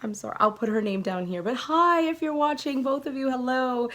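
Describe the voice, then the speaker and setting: A woman hosting her podcast, telling a story to viewers in a gushing, excitable way, her voice a little croaky from a cold. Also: high voice, fairly quick. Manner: gushing and excitable, laughing often. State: recovering from a sore throat. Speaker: podcast host.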